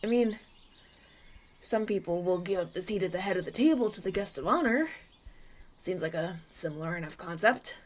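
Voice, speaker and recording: A man's voice: sits high, normal speed, pauses often. A man playing a polite, anxious young runaway prince in an audio roleplay, stammering and hesitant as he talks. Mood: puzzled, flustered. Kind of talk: ASMR audio roleplay, acted one-sided dialogue.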